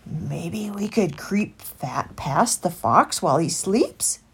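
A woman's voice: fairly low pitch, expressive, slow, slightly rough, heavily acted. A woman voicing a brave, practical male rat in a storybook, earnest and encouraging. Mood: calm encouragement. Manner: earnest, urging.

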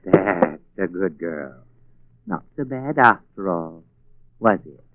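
An actor playing a smooth, scheming murderous husband with unctuous, hesitant courtesy in a 1940s radio drama. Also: male voice, deep voice, sing-song, slow, no echo, exaggerated voice. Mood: coaxing, stern. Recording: old phone-like 1940s radio recording.

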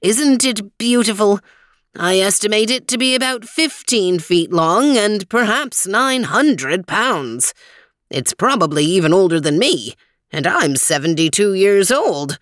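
A woman voicing a cheerful seventy-two-year-old male inventor who lectures eagerly from a screen, slow and dry. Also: mid-range voice, expressive, a bit bright, dry recording. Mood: proud. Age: older.

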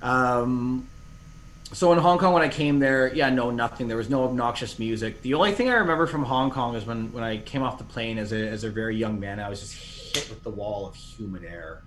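A man's voice: mid-range voice, brisk, fairly bright tone, slight background noise. Rambling self-deprecating man. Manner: rambling, self-deprecating, many restarts and fillers. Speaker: podcast guest. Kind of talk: casual conversational storytelling.

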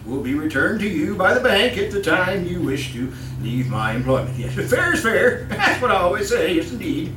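An actor playing a shifty, fussy employer with sinister secrets in old-time radio style, stammering, chuckling nervously and repeating himself. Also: male voice, high voice, very animated, moderate pace, noisy background. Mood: unctuous, chuckling. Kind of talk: old-time radio acting before a live audience. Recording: distant, echoey live stage with music.

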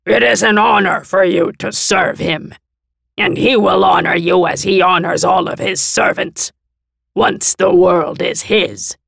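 A woman voicing a diseased, arrogant male envoy of a horde lord, with a raspy, ruined-sounding throat and slow, haughty threats. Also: lowish voice, no echo, voice pushed up, heavily acted. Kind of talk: audiobook character voice.

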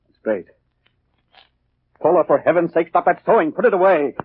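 A bullying cold husband in an old radio play, rough husky voice, tinny muffled sound. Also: male voice, on the high side, expressive, normal speed. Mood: bitter, irritated. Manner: curt, bullying, commanding. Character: cold, domineering murderous husband scheming for an inheritance. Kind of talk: 1940s radio acting.

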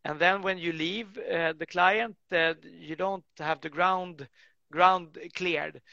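A man's voice: high voice, fairly even intonation, slow, creaky, pushed and bright, dry recording, dull audio. A man speaking English as an in a casual interview, rambling with many restarts and fillers. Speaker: guest, tree work business owner.

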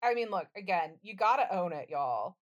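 A woman's voice: lowish voice, sing-song, normal speed, highs cut off. A woman co-hosting a podcast, chatting in a casual, comedic way with a playful, slangy manner. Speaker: podcast host.

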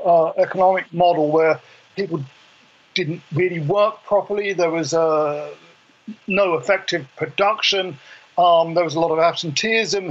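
A man working as a correspondent, giving halting, unscripted news analysis over a lo-fi phone line. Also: a little high. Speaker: foreign correspondent.

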